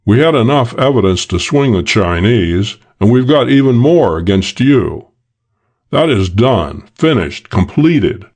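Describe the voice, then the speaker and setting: A man's voice: medium pitch, expressive, measured pace, a little mellow. A man voicing a stern, curt middle-aged detective in a crime audiobook, blunt and commanding.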